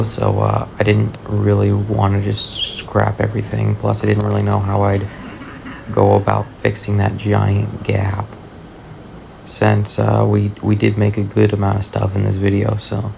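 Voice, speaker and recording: A man with a raspy, worn voice from long talking, giving low-key, hesitant voice-over commentary on gameplay in a casual way. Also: completely flat delivery, a little slow, background noise. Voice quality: raspy, gravelly. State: tired voice after long talking, throat-clearing. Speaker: gaming video host. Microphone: voice-over recorded separately from the footage.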